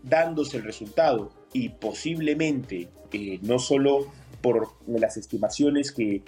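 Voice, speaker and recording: A man from Peru giving measured political analysis as an interview guest, with many hesitations. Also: medium pitch, warm tone, faint music behind. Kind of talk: interview on air.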